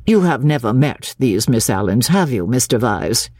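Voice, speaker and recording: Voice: medium pitch, big pitch swings, normal speed, a little mellow, dry recording, clean studio sound. A reader voicing a chatty, opinionated English clergyman who rambles theatrically in an audiobook. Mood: chatty, musing.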